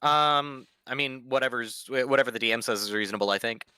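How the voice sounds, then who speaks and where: A man's voice: medium pitch, quick pace, bright, forceful tone, clean tone, no room sound. A man playing a tabletop game, asking questions hesitantly with many fillers. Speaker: player. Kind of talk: casual tabletop game chat.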